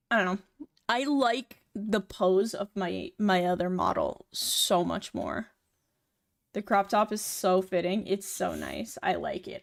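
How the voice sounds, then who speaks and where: A woman's voice: mid-range voice, vocal fry. Chatty young woman streamer. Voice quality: feminine voice. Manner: rambling, filler-heavy, chatty. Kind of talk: casual chat while working on a model.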